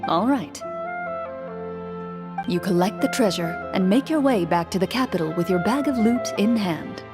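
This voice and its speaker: A woman voicing a composed, formal game master who narrates a story in measured tones in a video game. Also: medium pitch, expressive, over music, close to an everyday voice.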